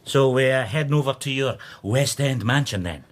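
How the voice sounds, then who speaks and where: An actor playing a cheeky, wheedling brother in trouble in a radio drama, with a husky voice and teasing manner. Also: male voice, mid-range voice, moderate pace, close to an everyday voice. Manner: teasing, pleading, chuckling.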